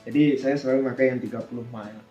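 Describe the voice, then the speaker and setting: A man teaches in a soft-edged, mellow voice at a middling pitch. He explains informally, thinking aloud and asking himself questions along the way. The room is clearly echoey, with some music behind him. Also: fairly even intonation, rapid-fire, muffled recording. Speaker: air traffic controller presenting a tutorial.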